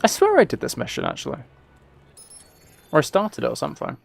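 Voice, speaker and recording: Male game streamer, mid-pitched with some fry, chatty and wryly dry, faint music underneath. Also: wildly swooping pitch, fairly quick. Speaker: streamer playing a video game. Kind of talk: casual livestream gaming chat and commentary.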